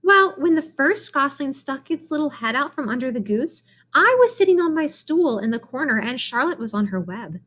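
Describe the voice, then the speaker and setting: A woman's voice: sits high, big pitch swings, normal speed, hardly any pauses, a bit bright, voice pushed up. A woman voicing an earnest, chatty young farm girl from a storybook in an eager, matter-of-fact manner. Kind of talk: storybook character voice.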